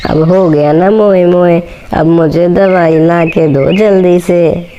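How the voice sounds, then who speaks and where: A woman's voice: on the low side, fairly even intonation, slow, warm tone, lo-fi sound. A woman voicing a whiny, childlike young bird daughter who complains, in a cartoon story with background noise. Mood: whiny, complaining. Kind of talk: Hindi moral-story cartoon dialogue.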